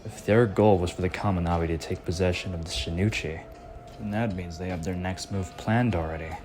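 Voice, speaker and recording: A man voicing a laid-back, cautious adult ally in an anime fan dub, talking in a measured, reasoning way with a slightly wry edge. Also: sits low, fairly even intonation, a little mellow, soft background music, natural voice.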